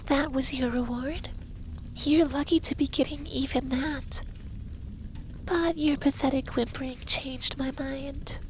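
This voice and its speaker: A high-voiced, feminine-sounding dragon boyfriend acted by a man murmurs in a soft, breathy ASMR style. He takes his time, sounding possessive and stern yet caring. A faint but noticeable noise sits under the intimate roleplay recording. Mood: teasing. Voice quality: soft, breathy, lapsing into whispers. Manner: commanding, teasing, tender.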